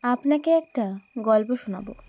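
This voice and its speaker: A woman's voice: fairly high pitch, animated, moderate pace, dark tone. A woman playing an insistent, sarcastic patient in an audio drama, with a taunting, theatrical delivery. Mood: intent.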